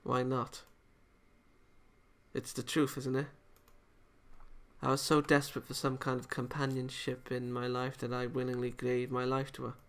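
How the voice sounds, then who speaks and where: A man's voice: mid-range voice, completely flat delivery, moderate pace, pauses often, lightly acted. A man voicing a sad, defeated young female villain from a visual novel in a subdued, self-pitying way. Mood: dejected, hopeless.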